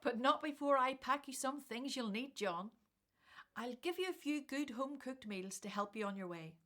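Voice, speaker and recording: A woman's voice: sits high, expressive, moderate pace, fairly bright tone. Woman as tender elderly biblical mother. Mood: fussing, wry. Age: older. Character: tender, practical elderly mother, devout and supportive. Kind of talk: radio Bible drama acting.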